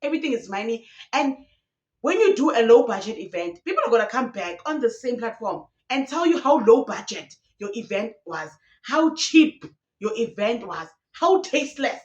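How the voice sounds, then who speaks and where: A woman's voice: fairly high pitch, sing-song, normal speed, roomy sound, lo-fi sound. A young woman YouTuber, ranting passionately in casual commentary, recorded alone in a room. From South Africa. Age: young adult. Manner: passionate, ranting, mixing in local slang.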